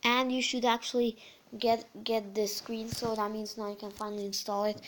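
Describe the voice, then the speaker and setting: Flat, casual tutorial voice, slightly croaky. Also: female voice, a little high, normal speed, slight background noise. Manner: casual, repeats words, frequent fillers. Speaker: channel host. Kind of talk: screen-recorded phone tutorial.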